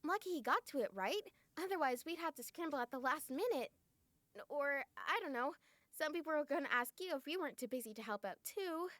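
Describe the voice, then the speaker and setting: A woman's voice: sits high, expressive, fairly quick, a bit bright, no room sound. A woman voicing a nervous, tactless young classmate in a visual novel, hesitant and apologetic. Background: faint music.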